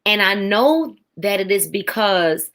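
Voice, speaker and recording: A woman's voice: medium pitch, animated, slow, fairly bright tone. A woman in her forties giving a faith testimony as a podcast guest, emphatic, with a preaching rhythm and repeated phrases. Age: 40s.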